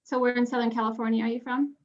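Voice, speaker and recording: A woman's voice: a little high, level tone, very fast, lo-fi sound. A woman who coaches college tennis, hosting the interview and keeping it going with short, encouraging replies. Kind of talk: video call interview.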